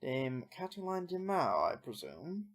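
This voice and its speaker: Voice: medium pitch, huge pitch swings, a little slow. A streamer with a nasal voice playing a courteous, formal male lawyer in a video game. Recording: music in the background.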